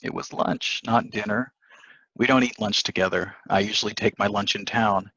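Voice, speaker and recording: A man's voice: on the low side, steady tone, moderate pace, sharp tone, dry recording. A man playing a coldly calculating newlywed husband in a table reading of a stage play, in dull audio. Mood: persuasive, sly.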